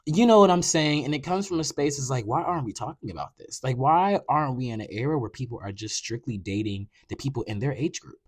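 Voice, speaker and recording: Young male podcast host, 20s, animated and sassy, very dry close mic. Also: fast talker, few pauses, breathy edge, dry recording. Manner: animated, sassy, conversational with frequent "you know what I'm saying"; rises into loud high outbursts when worked up. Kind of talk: casual solo podcast talk.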